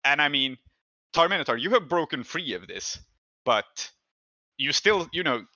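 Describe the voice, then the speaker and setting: A man's voice: a little high, sing-song, normal speed, sharp tone, no echo. A man narrating and refereeing an improvised tabletop roleplaying game as game master.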